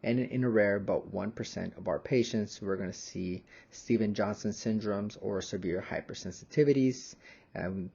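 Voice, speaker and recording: A young man, a pharmacy student, narrating a slide lecture in a measured, explanatory manner. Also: on the low side, vocal fry, warm tone, slightly rough, muffled recording.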